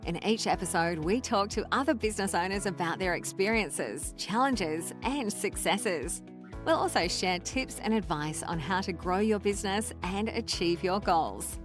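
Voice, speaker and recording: A woman reads a podcast introduction in a smooth, promotional voice at a middle pitch. A clear music bed plays under her the whole time. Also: lively intonation, normal speed. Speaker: show announcer. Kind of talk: scripted intro voice-over.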